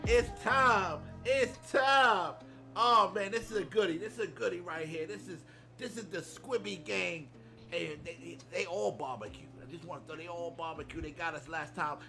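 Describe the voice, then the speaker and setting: Male American game streamer, 30s. Highish, swooping delivery, hyped and slangy, talking over clear music. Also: moderate pace, bright, forceful tone. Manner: hype, slangy trash talk. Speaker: streamer and gaming host. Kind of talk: excited gameplay commentary and banter. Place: indoor streaming setup. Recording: music playing behind the voice.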